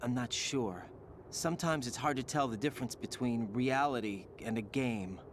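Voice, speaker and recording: A man plays a young soldier in a mid-range, earnest voice, questioning and unsure of himself. Ambient game noise hums clearly behind him. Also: medium pitch, normal speed, natural voice. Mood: troubled. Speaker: game character. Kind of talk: video game cutscene voice acting.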